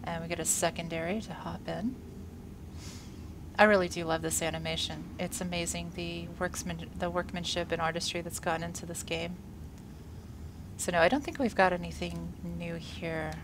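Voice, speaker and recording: A woman's voice: lowish voice, fairly even intonation, normal speed, background noise. A woman with a congested, slightly wheezy voice, giving upbeat, casual commentary on a space game while she plays. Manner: chatty, upbeat, frequent "uh" fillers. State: congested after a workout and illness. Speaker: gaming video creator.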